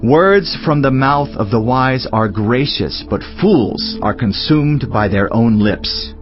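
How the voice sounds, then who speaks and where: An actor voicing a solemn, wise teacher in a dramatized scripture reading, speaking in a measured, declamatory way. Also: male voice, medium pitch, animated. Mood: solemn, admonishing. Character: solemn male Teacher, wise biblical sage reflecting on life. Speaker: scripture reader. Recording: dull lo-fi audio over music.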